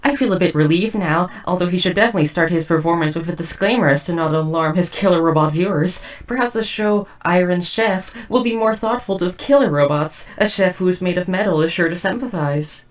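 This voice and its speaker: A woman's voice: lowish voice, a little fast, hardly any pauses, far from the mic, natural voice. A woman playing a socially awkward, devoted but murderous robot house spouse in a roleplay audio drama, speaking in stiff, wordy, formal phrases. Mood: relieved, puzzled. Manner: stiff, verbose, overly formal wording. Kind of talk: scripted roleplay audio drama addressed to listener.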